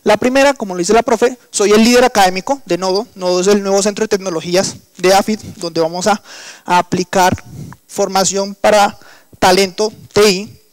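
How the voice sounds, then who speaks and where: A man's voice: high voice, steady tone, normal speed, no echo, poor audio. A young man with a coastal Colombian accent, teaching a workshop in an explanatory lecture style with frequent fillers and restarts. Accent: Colombian Caribbean coastal Spanish. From Colombian Caribbean coast. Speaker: workshop instructor. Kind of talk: online lecture.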